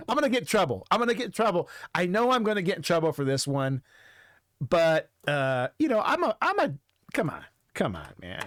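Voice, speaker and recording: A man's voice: sits high, wildly swooping pitch, brisk, a bit bright, no echo, clean studio sound. A man giving casual reaction commentary, hesitant and rambling as he thinks aloud. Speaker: music video reaction host.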